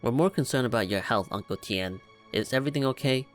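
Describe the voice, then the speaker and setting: A man's voice: mid-range voice, fast talker. A young man hosting a gaming video with casual, self-deprecating and jokey reaction commentary. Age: young adult. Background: faint music.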